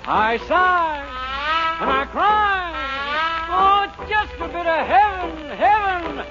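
A man's voice: extremely high pitch, lively intonation, takes it slowly. A man doing a theatrical 1940s radio comedy song act, crooning and breaking into spoken asides. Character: hammy jazz crooner pleading with his audience. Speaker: singer and comedian. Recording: muffled old radio recording, music behind.

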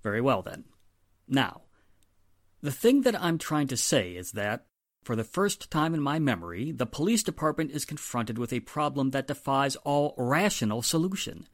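A man's voice: mid-range voice, sing-song, moderate pace, no echo, studio quality. A man playing an exasperated, firm police inspector, emphatic and stern, in 1940s radio drama style. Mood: grave, confiding.

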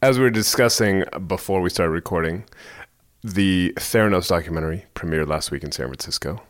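Very low-voiced male interviewer. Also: fairly quick. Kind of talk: conversational interview.

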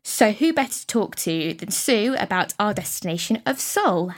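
A woman's voice: medium pitch, very animated, normal speed. A woman co-hosting a podcast, introducing the guest and asking short, encouraging questions. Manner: brief, encouraging prompts. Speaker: podcast co-host. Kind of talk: podcast interviewing.

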